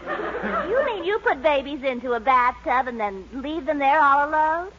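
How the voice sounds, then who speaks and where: A woman's voice: high-pitched, sing-song, moderate pace, bright, forceful tone, exaggerated voice. A woman acting in a 1940s radio sitcom as an incredulous, no-nonsense mother, exclaiming expressively on an old recording. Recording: dull vintage radio recording, faint hiss.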